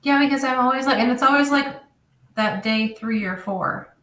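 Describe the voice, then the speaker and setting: A woman chatting casually on a podcast, agreeable and quick to laugh. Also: on the high side, a bit bright, reverberant, recorded from a distance. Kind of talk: casual chat.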